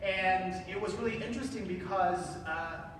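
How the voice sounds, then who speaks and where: A man in his thirties with a loud voice, telling his story on a career panel like the former actor he is. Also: sits high, moderate pace, crowd noise. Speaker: marketing director on a career panel. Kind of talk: panel introduction. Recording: echoey hall from far off, noisy.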